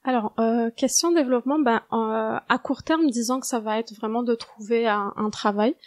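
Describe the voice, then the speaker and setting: Woman in her twenties, fairly high voice, dry close sound, interview guest. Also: steady tone, moderate pace, dry recording. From Algeria. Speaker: podcast guest, international student. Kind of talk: interview answers, conversational.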